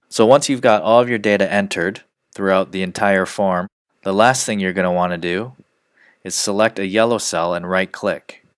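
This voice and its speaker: Low-voiced man narrating a software tutorial. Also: clean tone, no echo, studio quality. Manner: measured, step-by-step explaining. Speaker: software trainer. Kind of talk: instructional screencast narration.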